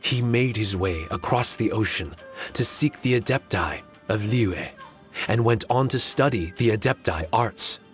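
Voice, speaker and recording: A man voicing a courteous, solemn ancient guardian spirit in an anime game, speaking formally and in a measured way. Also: fairly low pitch, animated, faint music behind. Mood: solemn, reflective.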